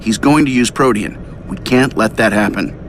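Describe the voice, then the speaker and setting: A man's voice: on the low side, normal speed, a little hiss or hum, lightly acted. Wry man as a cocky inventor hero. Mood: serious, explanatory. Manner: wry, clipped. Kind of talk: video game cutscene acting.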